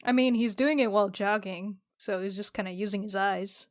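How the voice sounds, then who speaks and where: A woman's voice: mid-range voice, a little fast, dry recording, clean studio sound. A woman playing a tabletop role-playing game, chatting informally and jokingly as she describes her character's actions. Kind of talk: casual improvised game chat.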